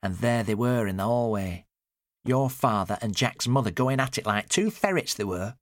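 A man's voice: fairly low pitch, lively intonation, moderate pace, dry recording, clean studio sound, voice pushed up, lightly acted. A man voicing a fragile, sad older mother with a plaintive, self-blaming manner in an audiobook. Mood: indignant. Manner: plaintive, self-blaming, abruptly changing subject. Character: Eileen, fragile older mother, sad, agitated, wandering mind. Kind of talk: audiobook character dialogue.

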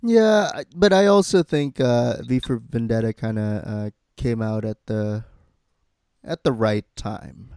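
A man hosting a podcast in casual, halting chat peppered with profanity. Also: mid-range voice, vocal fry, warm tone, clean tone, no room sound, studio quality. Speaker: podcast host.

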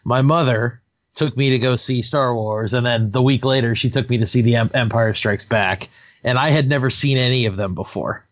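A man's voice: mid-range voice, moderate pace, no room sound. A man in his thirties, a game master, chatting casually and animatedly, sometimes slipping into voices. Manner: animated, slips into character voices. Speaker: podcast co-host and game master. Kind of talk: casual chat. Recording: dry, dull lo-fi sound.

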